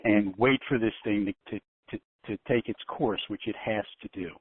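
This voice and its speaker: A man giving informal commentary as a panelist on a telephone conference call, haltingly and repeating his phrases. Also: lowish voice, breathy edge, no room sound. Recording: narrowband tinny phone line.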